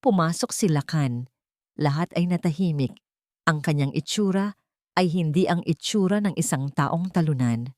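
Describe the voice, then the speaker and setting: A woman's voice: sits low, moderate pace, a little mellow, no echo, clean studio sound. A woman giving a dramatic scripted narration for an audio drama.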